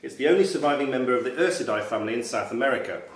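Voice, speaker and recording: A man's voice: medium pitch, lively intonation, very fast. A man reading quiz questions aloud as quizmaster at a live event. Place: function room at a club quiz night. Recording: roomy, distant mic, faint noise, clicks.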